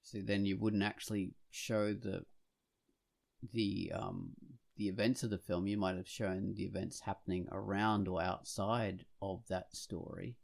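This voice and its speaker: A man co-hosting a podcast, speaking casually in a halting, reflective way. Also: low-pitched, little pitch movement, gravelly. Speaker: podcast co-host. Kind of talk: casual conversational discussion.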